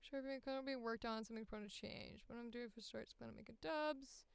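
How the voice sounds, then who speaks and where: High, clear-voiced woman chatting casually. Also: a little fast, dry recording. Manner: informal, thinking aloud. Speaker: voice actor, streamer. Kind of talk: casual livestream chat, reading aloud and commenting.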